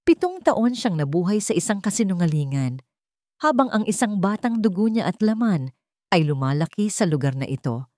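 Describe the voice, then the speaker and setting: A woman with a Filipino accent narrating a scripted dramatic story in a measured, solemn way. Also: mid-range voice, big pitch swings, darkish tone, dry recording, clean studio sound.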